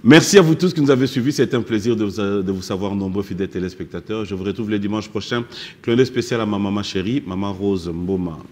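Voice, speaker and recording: A middle-aged man hosting a music talk show in a TV studio, lively and mixing French with Lingala, on a lapel mic. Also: fairly low pitch, quick pace, in a large hall. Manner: animated, switching French and Lingala. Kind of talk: casual studio interview. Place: TV studio set.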